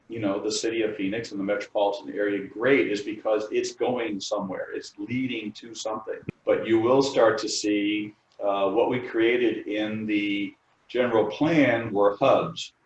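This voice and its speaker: A middle-aged man from the New York area, an architect answering interview questions in a halting way, full of fillers and restarts. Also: fairly low pitch, fairly even intonation. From New York area, United States. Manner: hesitant, many fillers and restarts. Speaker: architect, firm co-founder. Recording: distant mic, dull roomy sound.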